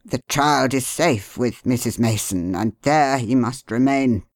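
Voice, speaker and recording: Woman reader doing a brooding middle-aged father, lowered voice, slow, heavy. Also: a little mellow, no room sound, clean studio sound, heavily acted. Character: troubled middle-aged father, gentle but grim.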